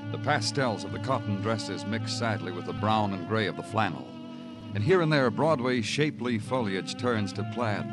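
An American man playing a world-weary police detective, narrating a vintage radio crime drama in a poetic, deliberate, hard-boiled way. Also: lowish voice, expressive, close to an everyday voice. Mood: brooding, lyrical. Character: brooding, world-weary male New York police detective. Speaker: narrator and lead actor. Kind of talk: 1940s-50s radio crime drama acting. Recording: old radio recording with music behind.

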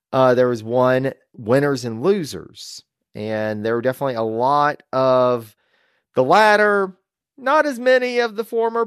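A man's voice: mid-range voice, clear, resonant tone, no echo. A man hosting a history podcast in casual chat, upbeat and laughing often, on a close microphone. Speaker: podcast host.

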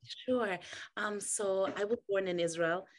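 A woman's voice: mid-range voice, unhurried, a little hiss or hum. A woman raised in Austria whose first language is German, chatting as a podcast guest about her life, laughing often. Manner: warm storytelling with frequent laughs. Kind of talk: casual interview chat.

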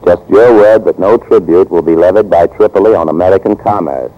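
A man playing a brash, confident American army captain in an old-time radio drama, with a brisk, commanding, cocky delivery. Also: sits low, close to an everyday voice. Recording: dull, hissy old radio recording.

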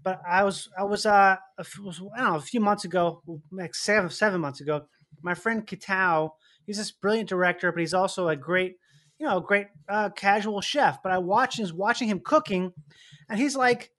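A man, an actor, chatting in a relaxed way and musing through his questions. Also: high voice, moderate pace. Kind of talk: casual chat.

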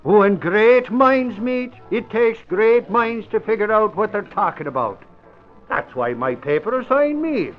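High-pitched blustering reporter. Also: male voice, big pitch swings, measured pace, soft background music. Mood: boastful. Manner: boastful, blustering, stammering. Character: vain, bumbling, girl-struck Irish newspaper reporter. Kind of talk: old-time radio comedy acting. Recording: muffled old radio recording.

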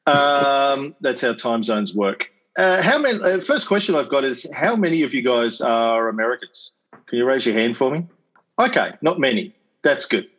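A man's voice: mid-range voice, expressive, moderate pace, fairly bright tone. A man with an Australian accent giving a casual lecture over a video call as a podcast host, chatty and full of ums and uhs, with wry asides. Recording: slightly dull video-call audio.

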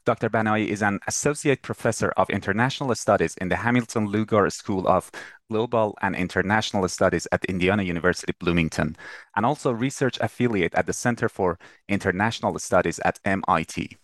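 A man's voice: on the low side, a little fast, no room sound, studio quality. A man hosting an interview podcast, introducing his guest and putting questions to him. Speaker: podcast host.

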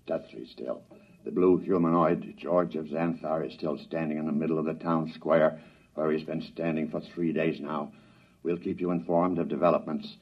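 An actor playing a grave radio announcer, delivering formal, dramatic bulletins in an old-time radio drama. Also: male voice, very deep voice, exaggerated voice. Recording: dull, rough vintage radio recording.

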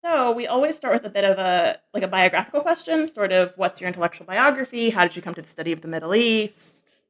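A woman hosts a podcast interview. She talks quickly at a middle pitch and moves briskly from the introduction into her questions. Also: animated, a bit bright. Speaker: podcast host.

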